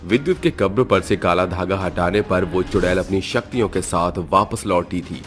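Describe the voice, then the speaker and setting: A man's voice: low-pitched, moderate pace, faint music behind. A man reading a scripted horror story as a dramatic narrator.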